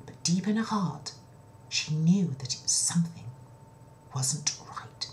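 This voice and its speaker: A woman telling a children's story in an expressive, dramatic way, with breathy dips toward a whisper and a chatty, confiding touch. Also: on the low side, unhurried. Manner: chatty asides to the listener. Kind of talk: children's story narration, told in own words.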